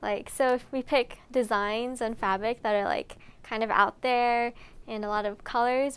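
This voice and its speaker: A woman's voice: a little high, clear, resonant tone. A young American woman answering interview questions in a bubbly, giggly way, with frequent "like". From United States. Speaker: climber.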